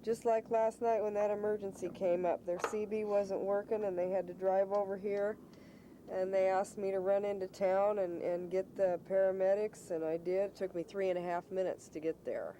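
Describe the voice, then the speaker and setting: Dark-toned woman, plain-spoken, casual. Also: medium pitch, steady tone, normal speed, a little hiss or hum, low-quality recording. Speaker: local resident being interviewed. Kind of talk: casual conversation.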